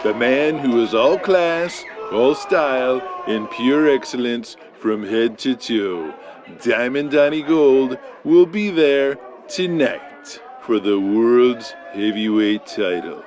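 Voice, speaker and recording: An actor playing an arrogant, flamboyant 1980s wrestling champion, boasting and sneering in a showman's drawn-out promo delivery. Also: medium pitch, expressive, soft background music. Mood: boastful. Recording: loud noisy studio crowd behind.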